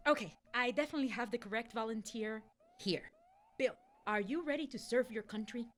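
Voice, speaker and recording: A woman's voice: a little high, brisk, a bit bright, lightly acted. A woman playing an eager, bungling Chief of Staff in a comedic radio play, flustered yet overconfident. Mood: confident.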